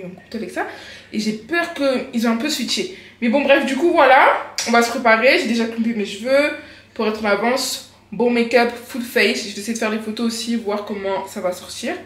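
A woman's voice: a little high, a little fast. A woman, vlogging in slangy casual chat to a handheld camera. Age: 20s. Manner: chatty slangy asides to camera. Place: flower garden outdoors and hotel room. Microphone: handheld camera at arm's length. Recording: echoey with crowd and noise behind.

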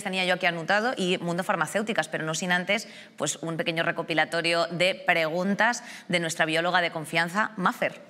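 A woman moderates a panel, tossing questions between guests in a loose, conversational way. Her voice sits a bit low but sounds bright, with a long studio reverb around it. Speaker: host. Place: television studio.

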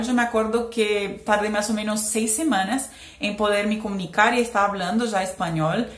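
A young Brazilian woman with a Brazilian Portuguese accent and a fairly high voice talks straight to the camera in a chatty tutorial vlog, filling her pauses with "eh" as she explains. She is recorded at close range in a small plain room that gives her voice a noticeable echo. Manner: chatty, explains with hand gestures, frequent "eh" fillers. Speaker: language vlogger. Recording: echoey room.

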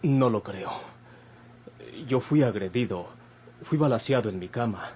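A Mexican actor playing an earnest young hospital patient in a classic radio soap, polite, measured and guarded. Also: male voice, medium pitch, animated, dark tone, natural voice. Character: earnest young male patient, a bandit's son. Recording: old noisy radio drama recording, hum.

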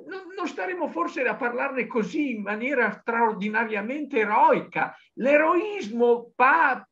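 A man's voice: extremely high pitch, a bit bright. An older man gives an impassioned interview reflection in a declamatory, emphatic way. His intonation swings widely and animatedly. The sound is dull and lo-fi, as from a video call. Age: older, 60s to 70s. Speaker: novelist guest. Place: book-lined study indoors, on a video call. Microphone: computer video-call microphone.

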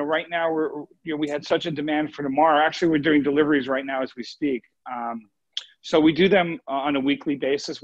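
A man giving a casual cooking demo as a chef over a video call, talking informally and haltingly as he thinks aloud. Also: a little high, no room sound. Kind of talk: casual live cooking demo, question and answer. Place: home kitchen on a live video call. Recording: muffled dry online video-call sound.

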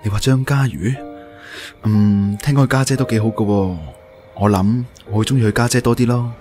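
A man's voice: on the low side, steady tone, a little fast, darkish tone, natural voice. A man acting a devoted, earnest and unsure young man in love in a radio drama, with a Hong Kong Cantonese accent. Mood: tender. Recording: roomy echo, music bed.

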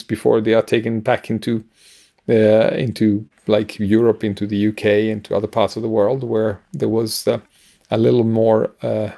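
A middle-aged man co-hosting a casual news discussion over a video call, speaking haltingly with frequent pauses. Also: on the low side, gravelly, mellow. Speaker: podcast co-host. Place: home room, indoors.

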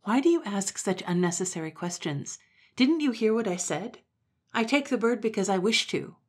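A woman's voice: medium pitch, lively intonation, moderate pace, husky, voice pushed up. A woman voicing a young male hero who leads an animal army, speaking in a firm, commanding way. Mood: stern.

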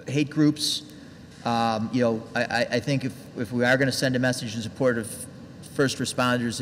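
A middle-aged man, a village trustee, giving his view at a board meeting in a hesitant way with many ums and restarts. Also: medium pitch. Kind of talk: speaking in a council debate. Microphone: desk microphone. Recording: reverberant hall, faint background noise.

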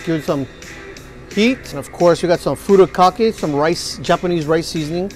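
Man, 40s, high-pitched, upbeat chef, restaurant kitchen, faint music behind. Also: moderate pace. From Hawaii. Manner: upbeat, hypes the food to viewers. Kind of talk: cooking demonstration.

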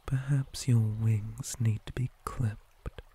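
A man plays a possessive male spider monster in an intimate ASMR monologue. The voice is dark, low and breathy, mostly whispered and drawn out with deliberate menace. Steady noise sits behind it. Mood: possessive, threatening. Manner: slow, deliberate, theatrical menace.

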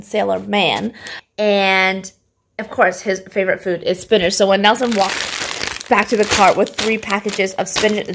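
A woman in her thirties talks to a phone held at arm's length in her kitchen. Her voice has a gravelly, fry-tinged edge, and she speaks at an ordinary middle pitch. She is chatty and rambling and laughs often. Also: background noise. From Canada. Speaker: vlogger showing a grocery haul. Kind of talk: casual chat to camera. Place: home kitchen, indoors. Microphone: phone camera at arm's length.

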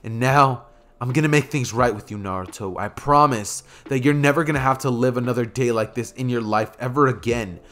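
A man voicing a calm, protective adult father and former village leader, speaking low, quiet and firm. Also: fairly quick, natural voice. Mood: tender.

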